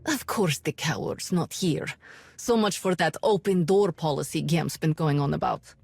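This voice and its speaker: A woman voicing a fed-up, impatient townsperson in a video game, blunt and animated. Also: sits low, normal speed, gravelly, husky, soft background music, exaggerated voice. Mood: indignant.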